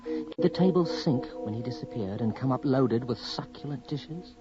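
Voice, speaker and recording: An actor playing the narrator of a radio horror drama, telling his eerie story in a measured, ominous way. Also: mid-range voice, lively intonation, music in the background, close to an everyday voice. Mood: ominous, haunted. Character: man narrator recalling a sinister, eerie encounter. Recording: muffled, noisy old radio broadcast recording.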